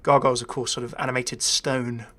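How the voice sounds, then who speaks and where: A man's voice: medium pitch, level tone, fairly quick, fairly bright tone. Male gaming video host, mid-range voice, casual and unscripted, hesitant thinking-aloud delivery with wry asides.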